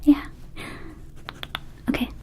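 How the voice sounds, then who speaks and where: A woman voicing a friendly, scatterbrained young vampire shop clerk in a soft breathy whisper, rambling casually and hesitantly in an ASMR roleplay. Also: drawn-out words. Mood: soothing, rambling. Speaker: ASMR performer.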